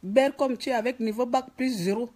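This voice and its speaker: A woman's voice: on the high side, fairly quick, mellow. A woman from Gabon with a Gabonese French accent, scolding and mocking in an emphatic political rant. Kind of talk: ranting political commentary to camera. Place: office.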